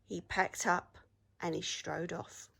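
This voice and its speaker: A woman teacher reading a story aloud to children in an expressive storytelling style. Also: lowish voice, slow pace, gravelly, a little hiss or hum.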